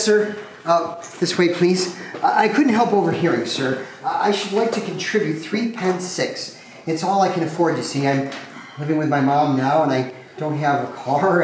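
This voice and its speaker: A man's voice: fairly high pitch, normal speed, close to an everyday voice. A man playing a humble, kindly clerk, hesitant and deferential, in an amateur comic play reading. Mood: meek, deferential. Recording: very echoey room, faint noise.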